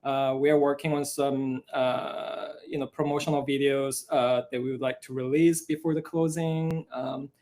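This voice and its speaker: Man, Korean accent, Korean native speaker, soft-spoken, hesitant, frequent filler pauses, mid pitch. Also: little pitch movement. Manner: soft-spoken, hesitant, many "uh"s, laughs easily. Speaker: co-writer of a musical. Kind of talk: casual live-stream chat.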